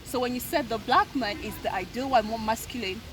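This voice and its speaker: Woman podcast cohost, kinda high voice, emphatic and repeats herself, noisy background. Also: a little fast. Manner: animated storyteller, acts out quoted voices; emphatic, repeats words when making a point. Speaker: podcast co-host. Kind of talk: casual podcast discussion.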